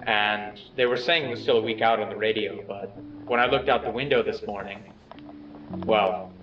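A man playing an awkward, earnest person recording a low-key video letter to someone absent, speaking haltingly and softly self-effacing. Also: steady tone, lightly acted. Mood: hesitant, subdued. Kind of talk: low-key found-footage video message monologue. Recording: dull, echoey, distant playback with music.

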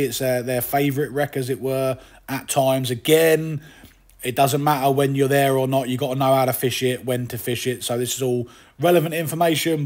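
Chatty English angler narrating footage. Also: male voice, medium pitch. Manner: chatty, relaxed, with fillers and asides. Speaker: fishing channel host. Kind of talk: casual voice-over commentary.

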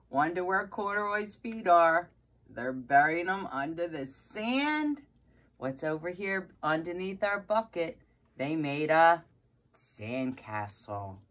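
A middle-aged woman with a low voice reads a children's picture book aloud. Her pace is unhurried and her intonation very animated, with exclamations and questions aimed at small listeners. She sounds like a preschool teacher, recorded on a phone at home. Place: carpeted basement room at home, indoors.

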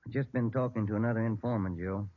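A man's voice: fairly low pitch, steady tone, fast talker, close to an everyday voice. A man with an American accent playing an easygoing, folksy police detective in an old-time radio drama. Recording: muffled, noisy vintage radio recording.

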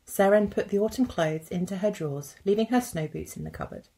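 A woman in her thirties narrating a picture book as a teacher, with deliberate phrasing for young children. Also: mid-range voice, animated, warm tone, breathy edge. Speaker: teacher reading a story. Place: indoors at a computer.

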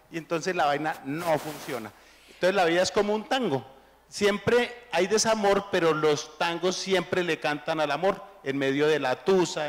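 A middle-aged man with a Colombian accent tells relaxed stories on a panel stage. His voice sits somewhat high. The handheld mic picks up the long reverb of a large hall. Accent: Colombian Spanish. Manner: relaxed storytelling, anecdotal. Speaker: cartoonist panelist. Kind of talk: onstage panel talk.

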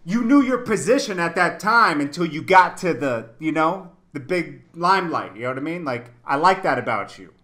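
Bright, high-pitched man interviewing casually. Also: animated, moderate pace, hardly any pauses. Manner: talkative, emphatic, repeats phrases. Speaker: interviewer and host of a hip-hop channel. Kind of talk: casual video-call interview, slangy. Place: indoor room, on a video call.

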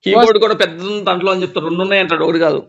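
A man's voice: high-pitched, fairly even intonation, rapid-fire, vocal fry, fairly bright tone. A man with a Telugu accent giving casual advice on a phone call, interjecting knowingly and repeating phrases. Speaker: phone adviser warning about fraud. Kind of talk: casual phone conversation, advising. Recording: dull lo-fi recorded phone call.

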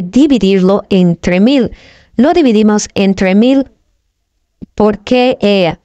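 A woman's voice: mid-range voice, mellow, no room sound. A woman narrating a screen-recorded walkthrough of channel statistics, talking in a hesitant way with many fillers and repeated phrases. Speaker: YouTube earnings commentator.

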